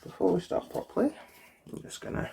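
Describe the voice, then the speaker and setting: A soft-spoken, often whispering person doing an ASMR eye exam roleplay, chatting gently and politely without hurry, close to the microphone. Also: very noisy. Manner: gentle, unhurried, polite chatty bedside patter. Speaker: ASMR performer playing an eye doctor.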